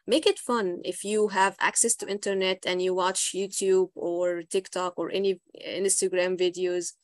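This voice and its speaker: A woman's voice: medium pitch, level tone, normal speed, no echo. A young woman from Egypt with an Egyptian accent, an English teacher giving encouraging advice to students on a video call. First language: Arabic. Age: young adult.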